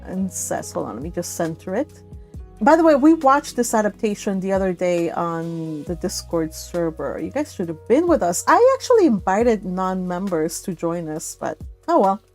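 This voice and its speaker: Animated millennial woman hosting a casual livestream. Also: on the low side, normal speed, soft background music. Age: 30s to early 40s. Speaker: livestream host of a book read-along. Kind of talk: casual live chat with viewers.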